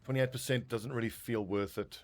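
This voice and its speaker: A man's voice: mid-range voice, steady tone, a little fast, a little hiss or hum. A man casually commentating his own strategy game play, thinking aloud and swearing now and then. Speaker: gaming video host.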